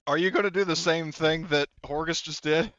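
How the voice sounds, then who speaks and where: A man's voice: fairly high pitch, normal speed, fairly bright tone, no room sound, dull audio. A man running a tabletop roleplaying game as game master, casual and joking, with some swearing. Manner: joking, profane banter.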